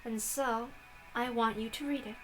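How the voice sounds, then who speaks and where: A woman's voice: fairly high pitch, steady tone, normal speed, a bit bright, soft background music, lightly acted, rough recording. A woman voicing a smug, bored woman novelist who claims to be a witch, speaking formally and with condescension. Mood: haughty.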